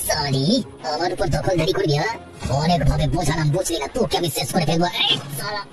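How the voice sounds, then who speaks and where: A woman voicing a desperate, ruthless male swindler in a cartoon drama, hurried and evasive. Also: lowish voice, lightly acted. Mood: threatening. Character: desperate, ruthless swindler man fleeing his crime. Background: music.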